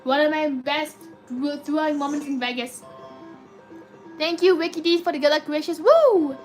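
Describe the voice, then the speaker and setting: High, robotic-sounding woman streamer. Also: moderate pace, soft background music. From United States. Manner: chatty, excitable cheering at spins. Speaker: slot machine live streamer. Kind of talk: live stream commentary reading chat.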